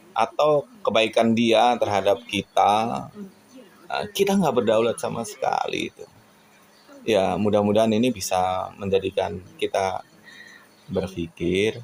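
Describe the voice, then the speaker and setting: A man from Indonesia giving a casual, reflective monologue to viewers in an earnest, halting way, often tossing in rhetorical questions. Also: sits low, gravelly. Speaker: radio hobbyist vlogger.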